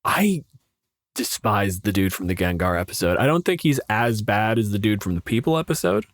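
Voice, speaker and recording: A man's voice: lowish voice, sing-song, normal speed, dry recording. A man co-hosting a podcast in casual chat, explaining things in a wry, sweary way. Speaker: podcast co-host and gamemaster.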